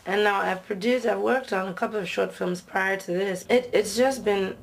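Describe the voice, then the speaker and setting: A woman's voice: medium pitch, normal speed, vocal fry. A woman from Nigeria, a producer, talking casually in a behind-the-scenes interview. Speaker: TV series producer.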